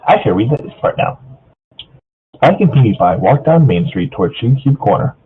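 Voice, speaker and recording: A man's voice: medium pitch, big pitch swings, normal speed, slight background noise. A man reading fan fiction casually with a group, stumbling over the odd word. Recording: thin phone-like voice chat.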